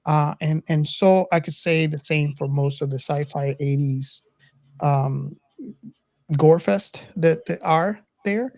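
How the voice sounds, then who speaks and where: A man's voice: on the high side, takes it slowly, darkish tone, no room sound. Reflective man chatting about films, dry close sound. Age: middle-aged. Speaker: podcast co-host. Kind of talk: casual film discussion.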